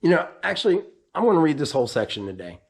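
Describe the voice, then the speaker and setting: A man, hoarse and losing his voice, giving a casual, rambling vlog update as a drag racer. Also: a little high, big pitch swings, fast. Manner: rambling, with frequent ums and restarts.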